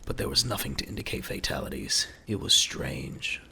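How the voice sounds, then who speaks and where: A man's voice: rumbling low, very animated, moderate pace, gravelly, sharp tone, a little hiss or hum. A man narrating a scripted audio drama in a dramatic storytelling style.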